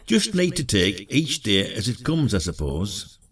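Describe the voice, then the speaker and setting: A dry old retired detective, lively in intonation, heard a little off-mic in a village radio drama acted by a man. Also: lowish voice, measured pace, husky, distant mic, heavily acted. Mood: reflective. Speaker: actor. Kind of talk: scripted audio drama dialogue.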